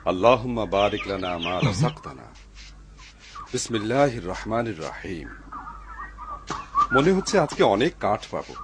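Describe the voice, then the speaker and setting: A man's voice: fairly low pitch, sing-song, unhurried, pauses often. A man voicing a humble, devout woodcutter in a cartoon, kind and cautious, musing aloud to himself expressively. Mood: contented. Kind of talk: dubbed cartoon voice acting. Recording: clear background noise behind the voice.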